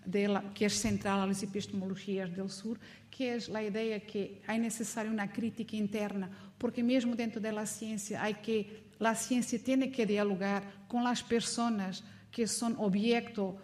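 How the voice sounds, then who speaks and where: A woman academic from Mozambique gives an informal lecture in a language that is not her first. She has a Mozambican Portuguese accent and a mid-pitched voice. Her manner is reflective and explanatory, with non-native phrasing. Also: darkish tone. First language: not Spanish, learned it as an adult; also speaks Portuguese. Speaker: academic speaker.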